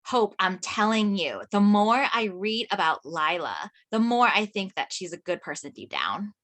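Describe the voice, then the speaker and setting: A woman's voice: medium pitch, very animated, a little slow, a bit bright. A woman reading an eager, chatty young woman friend at a script table read.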